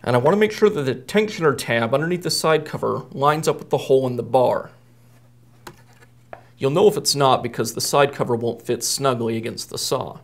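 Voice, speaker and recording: A man's voice: medium pitch, a little fast, gravelly. A man in his thirties, a repair technician, explaining a hands-on job step by step in a plain, methodical way. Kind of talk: step-by-step how-to demonstration. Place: indoor workshop at a workbench.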